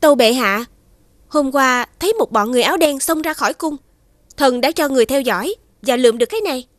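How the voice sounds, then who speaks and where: A woman voicing a proud, shrewd young princess in an animated fairy tale, speaking in a formal, courtly and assertive way. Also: sits high, fast, dry recording, studio quality. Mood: haughty, formal.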